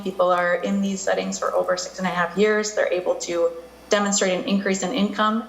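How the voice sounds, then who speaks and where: A woman presents a bill in a measured, formal way, keeping her pitch quite flat. Her voice rings a little in the room's echo. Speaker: county services manager. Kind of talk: formal testimony.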